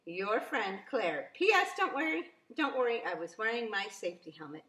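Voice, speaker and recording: A woman's voice: medium pitch, big pitch swings, moderate pace, bright, forceful tone. A woman voicing a friendly, grateful young schoolchild's letter in a storybook, with a careful, cheerful cadence. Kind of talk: storybook character in read-aloud. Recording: roomy, echoing sound.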